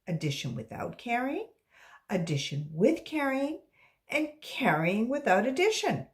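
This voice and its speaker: Lady doing a strict-ish storybook teacher woman, firm, explains slowly, very up and down. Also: on the high side, very animated, pitched above the performer's own voice. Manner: firm, instructive. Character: brisk, firm woman schoolteacher, insistent and unflappable. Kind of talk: children's storybook read-aloud.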